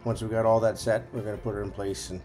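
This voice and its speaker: A man's voice: on the low side, flat delivery, fast, darkish tone, soft background music. A man giving a casual, folksy running commentary as a vlog host, explaining as he goes.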